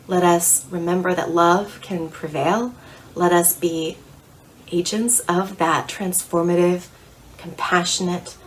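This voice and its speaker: A woman minister delivering a sermon in an earnest, deliberate way, pausing between phrases. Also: fairly low pitch, a little hiss or hum, low-quality recording. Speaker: minister preaching.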